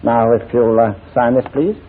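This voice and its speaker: A man's voice: mid-range voice, normal speed, close to an everyday voice. Blustery, flustered general played by a man, tinny radio. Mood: businesslike. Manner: hemming, repetitive, flustered authority. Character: blustery, easily flustered male general, an official investigator. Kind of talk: 1940s radio drama acting. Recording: thin, phone-like 1940s radio recording.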